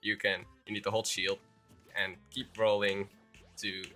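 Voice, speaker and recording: Casting note: young Dutch man, slightly low, bright and forceful tone, under the weather, faint music underneath. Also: little pitch movement, a little slow. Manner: rambling, hesitant with restarts. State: sick. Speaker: YouTuber. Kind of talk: game tutorial voice-over.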